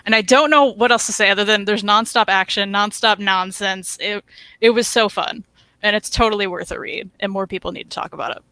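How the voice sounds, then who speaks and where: A woman's voice: mid-range voice, brisk, sharp tone, dry recording, rough recording. A woman co-hosting a review show, chatting casually and informally with lots of enthusiasm. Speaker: co-host of a comic book review show. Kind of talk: casual unscripted chat.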